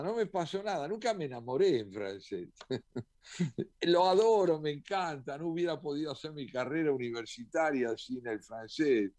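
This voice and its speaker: A man answers questions as a poet and translator, thoughtful and measured. He pauses now and then with small hesitant fillers. His voice is mid-pitched and sounds dry and close to the mic. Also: no room sound. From Argentina. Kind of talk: reflective interview answers.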